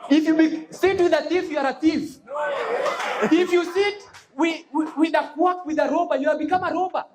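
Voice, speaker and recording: A student debater with a Kenyan accent gives a fiery, rhetorical debate speech, repeating slogans and shouting points. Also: extremely high pitch, moderate pace. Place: university debate hall. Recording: echoey hall with a noisy crowd.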